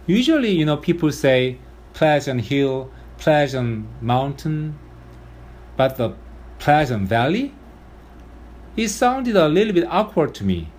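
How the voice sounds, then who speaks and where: A man with a strong Korean accent, a pastor giving an earnest, measured sermon, his first Sunday with a new congregation. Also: fairly high pitch, big pitch swings, a little mellow.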